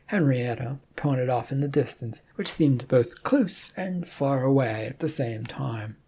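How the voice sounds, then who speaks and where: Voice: medium pitch, vocal fry, dark tone, slightly rough. A storyteller reading scripted fantasy fiction as a narrator.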